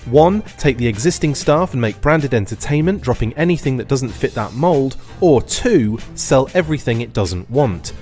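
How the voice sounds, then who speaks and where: A man reading a scripted news report in an even, read-out delivery. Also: medium pitch, moderate pace, faint music behind.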